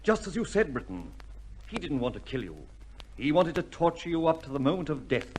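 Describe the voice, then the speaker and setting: Noisy old recording of urgent gentleman. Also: fairly high pitch, big pitch swings, a little fast. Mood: pleading, indignant. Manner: emphatic, clipped, formal. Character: urgent, earnest titled gentleman pleading for an innocent friend. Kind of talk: old-time radio drama acting.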